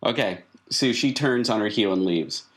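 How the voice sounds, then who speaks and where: A man narrating a tabletop game as game master, casually improvising and often pausing to think aloud. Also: fairly low pitch.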